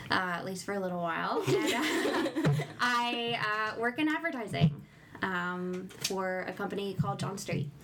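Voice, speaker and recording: A woman's voice: mid-range voice, lively intonation, moderate pace, background noise. A woman in her twenties, a round-table guest, introducing herself in a giggly, self-deprecating way. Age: 20s. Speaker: guest, works in advertising.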